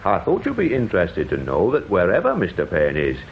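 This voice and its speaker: A long-winded police sergeant in a radio play, formal and eager to defer to his superior. His pitch swings widely as he explains things pedantically. The recording is slightly dull with faint noise. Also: wildly swooping pitch, brisk, vocal fry, exaggerated voice. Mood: deferential, pleased. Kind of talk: radio drama acting.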